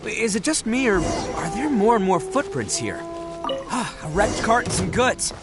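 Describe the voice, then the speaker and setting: An actor playing a brash, hot-headed, loyal young gang boss in a video game, cocky, boisterous and slangy. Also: male voice, high-pitched, expressive, unhurried, loud music behind the voice. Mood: determined, cocky.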